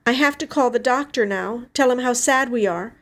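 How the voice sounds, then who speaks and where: A woman's voice: on the high side, moderate pace, pitched above the performer's own voice, close to an everyday voice. A woman voicing a grieving, protective older sister in an audiobook story, emotional and emphatic in her delivery. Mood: sorrowful, tender. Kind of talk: dramatic audiobook character dialogue.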